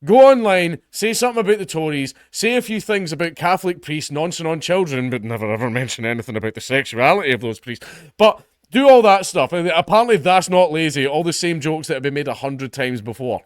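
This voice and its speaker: A man's voice: animated, fairly quick, hardly any pauses, clear, resonant tone, dry recording, clean studio sound. A Scottish man commenting on an article in a casual, sarcastic, sweary way, mocking the people he quotes. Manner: reads article passages in a lower, quieter voice. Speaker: online commentator.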